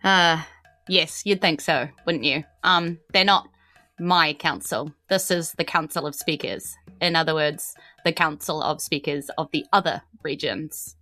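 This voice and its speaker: A New Zealand woman playing an earnest, nervous but determined teenage empress in a tabletop game, speaking haltingly. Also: sits low, pushed and bright, soft background music. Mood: guarded, candid.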